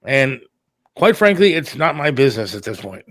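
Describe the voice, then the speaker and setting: A man's voice: mid-range voice, sing-song, normal speed, no room sound, clean studio sound. A middle-aged man talking candidly and casually on a talk show, plain-spoken and a little defensive. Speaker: radio producer and talk show host.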